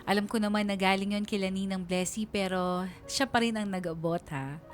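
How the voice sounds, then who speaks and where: A woman's voice: medium pitch, fairly even intonation, fairly quick, natural voice. A woman playing a young woman narrating her own love story in a confiding radio drama style. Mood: giddy. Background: music under the voice.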